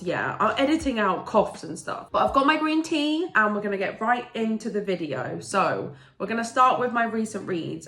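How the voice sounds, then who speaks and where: A woman's voice: mid-range voice, a bit bright. Congested woman book vlogger, super enthusiastic, roomy echo, bit of music underneath. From England. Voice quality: stuffy, blocked-nose voice. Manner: gushing, enthusiastic, chatty. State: congested from a cold. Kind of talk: casual book review chat.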